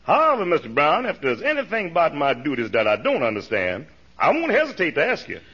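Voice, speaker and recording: An actor playing a polite, eager valet in a 1940s radio comedy, speaking formally and deferentially. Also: male voice, on the high side, wildly swooping pitch, brisk, sharp tone, breathy edge, exaggerated voice. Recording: muffled 1940s radio recording.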